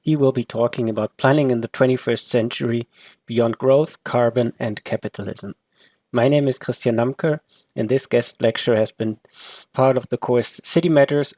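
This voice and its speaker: A man reading a measured, formal introduction to a recorded lecture. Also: medium pitch, a little mellow, dry recording. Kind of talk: read introduction.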